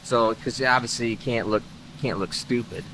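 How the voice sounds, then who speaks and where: Male host, casual workshop rambler, mid-pitched, faint engine hum behind. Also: moderate pace, fairly bright tone, rough recording. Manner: rambling, easygoing, lots of "uh" fillers. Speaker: host of a car-building channel. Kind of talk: casual garage vlog talk. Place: car workshop.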